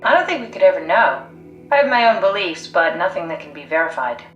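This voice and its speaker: A woman's voice: lowish voice, expressive, a little fast, highs cut off, natural voice. A woman playing a pragmatic, driven, blunt scientist in a video game, analytical, matter-of-fact and persuasive. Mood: reflective. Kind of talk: video game voice acting, naturalistic dialogue. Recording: echoey sound. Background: faint music.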